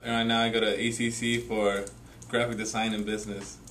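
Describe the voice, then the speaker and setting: An 18-year-old man tells his story casually, full of "you know" and "like." His voice is lowish and flat. It is picked up from some distance, with a faint hiss behind it. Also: brisk. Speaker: young father telling his story. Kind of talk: candid personal testimony. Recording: distant mic with faint noise.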